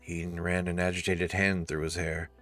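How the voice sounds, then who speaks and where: A man's voice: deep voice, level tone, moderate pace, a bit bright, soft background music. A man narrating a scripted story as its narrator.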